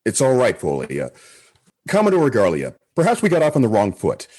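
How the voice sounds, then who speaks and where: An actor playing a polite, diplomatic and slightly hesitant space station captain in a comedy radio play. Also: male voice, lowish voice, wildly swooping pitch, a little fast, a little mellow. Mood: placating.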